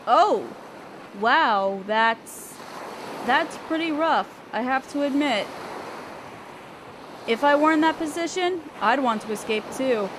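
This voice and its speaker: A young female selkie, acted by a woman in a fantasy audio roleplay, with a fairly high and very animated voice. She sounds guarded and teasing, with a hesitant curiosity under the aloofness. Surf and wind are clearly heard behind her. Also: slow, pauses often. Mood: softening, sympathetic. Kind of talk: scripted roleplay audio drama addressed to the listener. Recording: ocean waves and wind behind voice. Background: surf, waves and wind sound effects.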